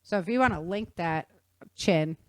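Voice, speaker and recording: A woman's voice: on the low side, normal speed, no room sound, studio quality. A woman hosting a podcast, explaining things casually in an informal, nerdy-enthusiast way. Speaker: podcast host.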